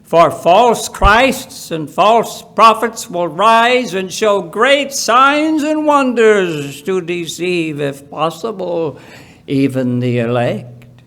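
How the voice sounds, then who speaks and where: Very slow, high-pitched man reading scripture. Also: animated, gravelly, a bit bright. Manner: deliberate, emphatic phrasing with pauses. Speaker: Bible reader at a church.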